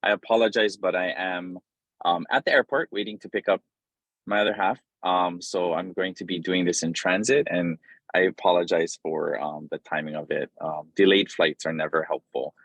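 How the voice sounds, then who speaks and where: A man from Hawaii speaking as a guest on a video call from an airport, loose and informal with many pauses. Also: low voice, fairly even intonation, a bit bright, no room sound. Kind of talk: casual talk on a video call. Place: airport, in transit.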